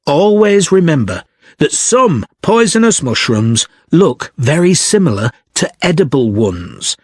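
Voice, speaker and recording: An author doing an instructive talk, slow and measured, slightly husky, scripted exam audio. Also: male voice, medium pitch, no echo, studio quality, full character voice. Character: male author giving practical advice on wild mushrooms. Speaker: guest speaker on foraging. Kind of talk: informational talk, scripted exam listening recording.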